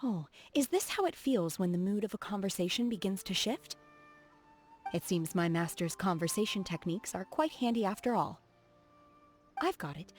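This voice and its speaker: A woman voicing a reserved, earnest, socially awkward young female martial disciple in a video game, speaking in a formal, measured way. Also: mid-range voice, lightly acted. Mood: gently amused. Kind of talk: video game character voice acting. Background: background music.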